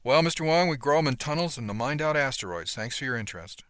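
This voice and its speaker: A man's voice: mid-range voice, expressive, fast talker, a bit bright, no echo, clean studio sound, voice pushed up, close to an everyday voice. A man voicing a blustering middle-aged male operations manager who is brusque, condescending and prone to stammering, in a story. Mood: brusque, busy.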